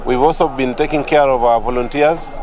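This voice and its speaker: A man, a sports federation official, speaking deliberately with frequent fillers in an interview. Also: mid-range voice, fairly even intonation. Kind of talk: interview statement. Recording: outdoor crowd noise behind.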